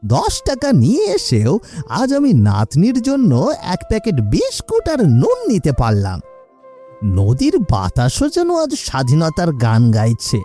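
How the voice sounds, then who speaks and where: An earnest elderly village uncle from a moral cartoon, acted by a man. His mellow, fairly high voice swoops widely as he moralises emphatically, with faint music behind him. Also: full character voice. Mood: relieved. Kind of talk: cartoon acting.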